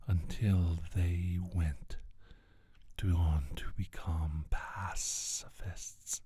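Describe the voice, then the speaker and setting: Guy doing an evil alien scientist for asmr, super slow low raspy whisper, condescending and menacing. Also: dark tone, exaggerated voice. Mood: cold menace. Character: menacing alien scientist, scornful, bent on world domination. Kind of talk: soft-spoken ASMR roleplay monologue.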